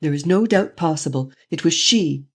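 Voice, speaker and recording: A reader voicing a daring, commanding gentleman thief who speaks urgently and forcefully in an audiobook. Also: mid-range voice, lively intonation, moderate pace, slightly rough. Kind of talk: audiobook reading.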